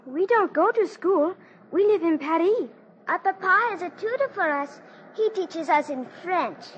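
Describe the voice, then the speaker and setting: A woman's voice: very high voice, lively intonation, unhurried. A woman playing a polite, innocent little boy in a 1940s radio drama. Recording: muffled, noisy 1940s radio recording.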